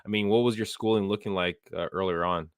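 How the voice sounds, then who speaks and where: Low, smooth-voiced male podcast host. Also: steady tone, fast talker, no room sound, studio quality. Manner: hesitant, many "I mean" and "um" restarts. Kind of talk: interview questions.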